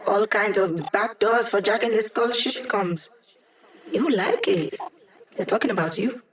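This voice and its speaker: A woman's voice: medium pitch, measured pace, natural voice. An actress voicing a wry, cocky young woman hacker in a video game, speaking in quippy, casual lines. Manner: sardonic, quippy, casual slang. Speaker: game character, hacker support.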